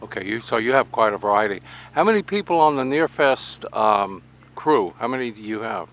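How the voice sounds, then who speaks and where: A man's voice: mid-range voice, animated, brisk, fairly bright tone. An older man doing casual on-the-spot interviews about amateur radio, chatting and sharing anecdotes as he goes. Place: outdoors at a radio swap meet.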